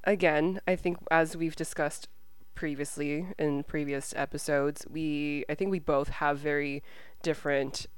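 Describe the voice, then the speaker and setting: A woman's voice: sits low, level tone, measured pace, smooth, no echo. A woman in her twenties co-hosting a podcast, chatting in a playful, giggly way. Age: 20s. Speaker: podcast co-host. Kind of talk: casual chat.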